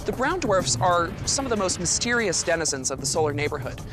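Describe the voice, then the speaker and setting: A man's voice: sits high, very animated, fairly quick. A man speaking as an expert in a science interview, explaining conversationally with emphasis on key words. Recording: music laid under interview. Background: music.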